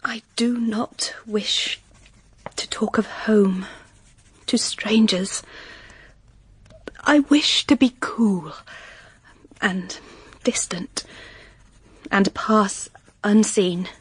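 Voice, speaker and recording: An actress playing an earnest, reserved young English governess in a period drama, speaking in a restrained, formal, principled way. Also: female voice, mid-range voice, extremely slow, pauses often, slightly rough, full character voice. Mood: hushed, yearning. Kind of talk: period radio drama acting.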